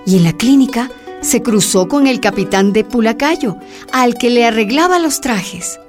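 A woman giving steady scripted narration in a radio drama. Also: fairly high pitch, normal speed. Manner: steady storytelling. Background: music.

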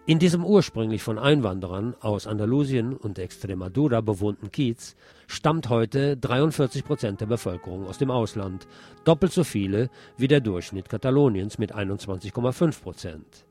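A man's voice: fairly low pitch, expressive, normal speed, warm tone, faint music behind. A man hosting a radio magazine, reading scripted news reports in a presenter's role. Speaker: radio host and presenter, also an author.

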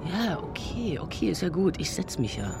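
An actor playing a sarcastic, impatient young slacker in a German science fiction radio play, with a flippant, slangy delivery. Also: male voice, sits high, big pitch swings, normal speed, gravelly, over music, heavily acted. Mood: irritated, flippant. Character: Jeremy, sarcastic impatient slacker young man trapped in simulation.

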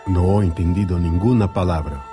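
A man acts a grumpy, unimpressed Spanish poet in a radio play. He talks fast in a low voice, with music clearly behind him. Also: close to an everyday voice. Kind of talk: radio drama acting in a period scene.

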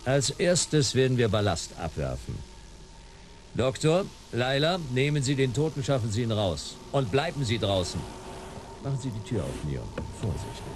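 An actor playing a cool, sardonic detective hero in a German sci-fi radio play, dry, terse and commanding. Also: male voice, medium pitch, very animated, normal speed, music in the background, close to an everyday voice.

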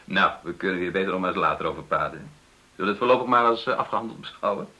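An actor playing a defensive, evasive middle-aged husband in a Dutch radio play, stammering and protesting. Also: male voice, deep voice, animated, rapid-fire, pushed and bright, exaggerated voice.

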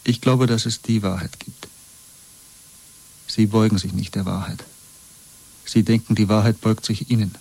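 An actor playing a broken but stubborn prisoner under interrogation in a German radio play, speaking wearily and plainly in a husky voice. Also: male voice, lowish voice, fairly even intonation, normal speed, pauses often, a little mellow, low-quality recording. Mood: quietly defiant.

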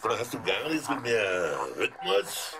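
An actor playing a boastful, unhurried poker player in a German radio play. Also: male voice, mid-range voice, very animated, a loud crowd. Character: boastful unhurried male poker player with own record archive.

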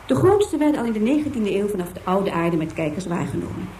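An actress voicing a formal, instructive information tape in scripted documentary style within a radio play. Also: female voice, mid-range voice, very animated, fast, warm tone, slight background noise, lightly acted. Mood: formal, informative. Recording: echoing, distant, music behind.

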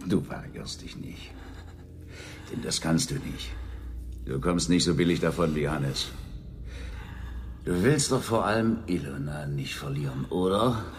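A man's voice: very deep voice, animated, measured pace, long pauses, slight background noise. A man acting in a radio drama as a menacing, contemptuous socialist state security interrogator who sneers and commands. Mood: threatening.

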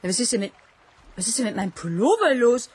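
A woman's voice: a little high, big pitch swings, quick pace, a little mellow, husky. A woman playing a coy, playful worker in a radio play, speaking coaxingly. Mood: bright, then puzzled. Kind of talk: 1980s German radio play acting.

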